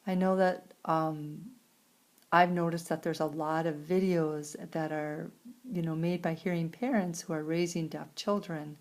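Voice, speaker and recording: Creaky, low-pitched American woman interpreting. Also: fairly even intonation. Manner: halting, piecemeal phrasing with pauses. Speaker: sign language interpreter voicing a signer. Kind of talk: live spoken interpretation of a signed personal story.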